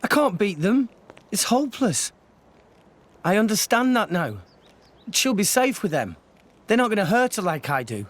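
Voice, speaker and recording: A man's voice: high-pitched, sing-song, normal speed, pauses often, a bit bright, full character voice. A man voicing an earnest, self-doubting teenage boy hero in a video game. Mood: defeated.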